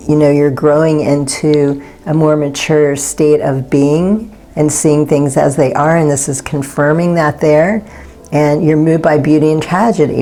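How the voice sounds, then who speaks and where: A woman giving a pick-a-card tarot reading in a soft, unhurried and gently reassuring way. Her voice is slightly raspy, and she often pauses to search for words. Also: deep voice. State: slightly raspy from not talking all day.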